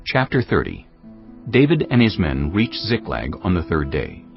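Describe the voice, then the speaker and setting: A man narrating dramatized scripture in a solemn, measured storytelling manner. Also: deep voice, wildly swooping pitch, creaky. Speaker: Bible narrator. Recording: dull audio with music behind. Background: background music.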